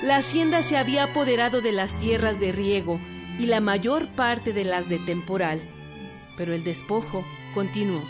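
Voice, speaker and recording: A female narrator with a Mexican accent reads a scripted history. Her voice is mid-pitched, set over clearly audible music. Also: normal speed.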